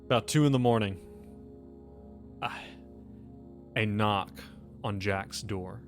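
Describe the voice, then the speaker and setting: Low-voiced man running a tabletop game. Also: slow pace, soft background music. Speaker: game master. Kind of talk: narrating a tabletop game, improvised.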